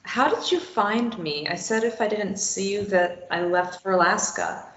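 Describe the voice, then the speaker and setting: A woman's voice: medium pitch, measured pace, fairly bright tone, a little hiss or hum, lightly acted. A woman reading a wry, stubborn young woman. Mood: dry. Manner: dry, understated. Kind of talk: table read of a stage play. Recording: roomy, muffled lo-fi recording.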